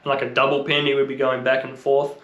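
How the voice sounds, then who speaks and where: A man's voice: mid-range voice, completely flat delivery, fast talker, a bit bright, muffled recording. A man giving a casual, unscripted explainer as an instructor, thinking aloud in an informal, slightly hesitant way. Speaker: longboarding instructor and video host. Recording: roomy, echoing sound.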